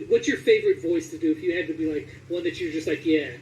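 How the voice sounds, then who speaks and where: High-voiced man interviewing in an echoey room. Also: fast talker, darkish tone. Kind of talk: casual interview chat. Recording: roomy echo, distant mic, faint noise.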